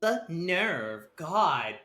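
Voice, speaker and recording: A man's voice: a little high, big pitch swings, very slow, sharp tone. A man doing casual livestream game commentary with wry asides, sometimes reading dialogue aloud. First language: English. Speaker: game streamer.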